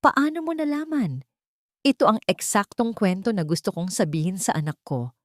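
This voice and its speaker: A woman's voice: mid-range voice, lively intonation, normal speed, no room sound, studio quality, pitched above the performer's own voice, natural voice. A woman voicing a stern, wealthy older matriarch with a formal, commanding manner in an audio drama. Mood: moved.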